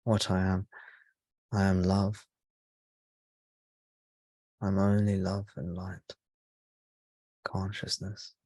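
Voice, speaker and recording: A man speaking as a spiritual teacher leading a guided meditation, in slow, measured short phrases with pauses between them. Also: sits low, monotone, darkish tone, dry recording.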